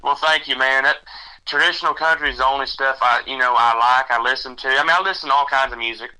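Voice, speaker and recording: Bright-voiced young man. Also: mid-range voice, rough recording. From Texas. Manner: halting, rambling with many fillers. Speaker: country singer guest. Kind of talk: casual interview chat.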